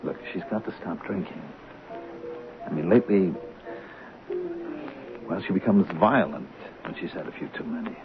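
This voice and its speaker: An actor playing an anxious soap star who speaks earnestly and urgently, pleading, in a 1970s radio drama. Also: male voice, sits low, normal speed, long pauses, over music. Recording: narrow-band old radio broadcast.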